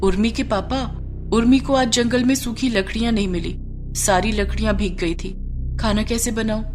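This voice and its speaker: A woman voicing a poor, self-sacrificing village wife and mother in a moral story, gentle and resigned. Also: a little high, fairly even intonation, moderate pace, over music, natural voice. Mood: worried.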